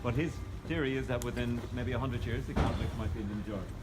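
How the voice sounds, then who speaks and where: A man's voice: mid-range voice, racing, over loud music. A middle-aged man with a Northern Irish accent leading a walking tour of his city, a chatty storyteller who jokes with his group. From Northern Ireland. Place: outdoors on city streets. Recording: street noise outdoors.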